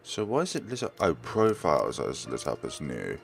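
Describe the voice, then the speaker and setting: A man's voice: extremely low pitch, huge pitch swings, moderate pace, gravelly, faint music behind. A man hosting a game playthrough, switching between dramatic read-aloud narration and casual commentary. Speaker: let's play host.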